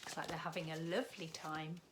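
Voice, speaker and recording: A woman's voice: low voice, fairly even intonation, brisk, background noise. A woman, a primary teacher reading a story expressively to young children, with chatty asides.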